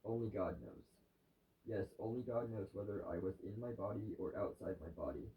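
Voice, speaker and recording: A man reading a devotional scripture passage aloud in a halting way, stumbling now and then, recorded at home. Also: fairly low pitch, expressive, slight background noise. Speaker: reader of a daily devotional. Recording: distant, roomy, dull lo-fi sound.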